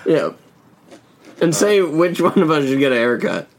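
A man co-hosting a comedy podcast, chiming in with quick jokes. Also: on the high side. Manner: lively and animated. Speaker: podcast co-host. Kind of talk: casual comedy banter.

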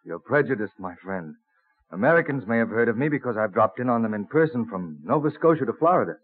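A man plays a colonial plant collector in an old radio play. He is plain-spoken, modest and enthusiastic, with lively swings of intonation. The sound is narrow and muffled. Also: medium pitch, fast, lightly acted. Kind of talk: vintage radio drama acting.

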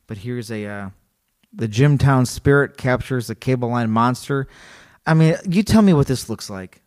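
A man in casual podcast chat, reading a story aloud to his co-host and cracking jokes as he goes. His voice sits in an ordinary middle range for a man. He talks in an animated way and laughs easily. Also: medium pitch, moderate pace. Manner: animated, joking, laughs often.

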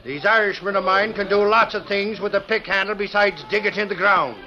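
A man's voice: high voice, fairly quick, natural voice. An actor playing a tough, scrappy Irish construction foreman who talks in brash folksy threats in a western radio drama. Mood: scrappy, threatening. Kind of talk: old-time western radio drama acting. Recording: muffled lo-fi radio recording with music.

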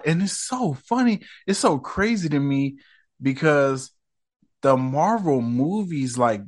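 Slangy man hosting a casual podcast. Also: mid-range voice. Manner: loose, slangy and profane, rambling asides. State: voice rough after smoking. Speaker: podcast host.

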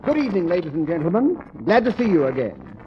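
A dull, noisy vintage radio recording carries a corny comic working through old jokes. He is mannered and formal, with a high male voice that moves around a lot. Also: wildly swooping pitch, fast talker, over music. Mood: corny, self-assured. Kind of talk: 1940s radio comedy monologue.

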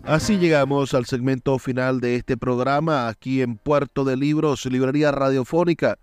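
A man's voice: medium pitch, fairly even intonation, moderate pace, soft background music. A man with a Venezuelan accent hosting a radio show in a courteous, effusive presenting style. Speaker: radio host.

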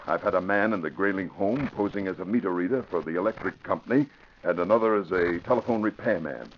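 A man's voice: low voice, quick pace, a little hiss or hum. A man playing an earnest, authoritative American police commissioner in a classic radio drama. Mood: grave, urgent. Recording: muffled, lo-fi vintage radio recording.

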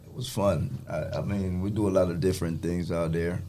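A man from Jamaica, a guest on a radio morning show, telling a story casually and acting out quoted lines with loud high bursts. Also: fairly even intonation, brisk.

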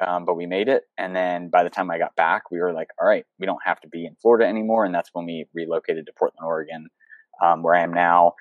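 A man's voice: sits low, no room sound, poor audio. A young man, a photographer and coach, talking casually as an interview guest over a video call, rambling with many fillers and restarts. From United States.

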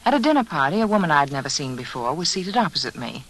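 A woman's voice: deep voice, sing-song, fast talker, fairly bright tone, a little hiss or hum, low-quality recording. An American woman reading a scripted beauty advertisement as a storyteller in 1940s radio style.

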